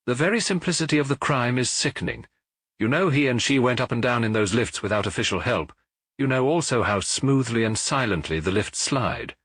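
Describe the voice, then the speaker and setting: A man voicing a gentle, husky priest detective with swooping intonation. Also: medium pitch, dry recording, voice pushed up. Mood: earnest explaining. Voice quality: gentle, even. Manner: measured, explanatory, lecturing. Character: gentle, earnest little priest detective explaining crimes. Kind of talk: audiobook character dialogue.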